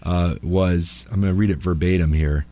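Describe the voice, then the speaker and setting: A man with a slightly raspy voice, a little under the weather, hosts a live radio call-in show as an attorney, chatting in an informal, halting, wry way. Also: low voice, fairly even intonation, warm tone. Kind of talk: casual live radio chat. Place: radio studio.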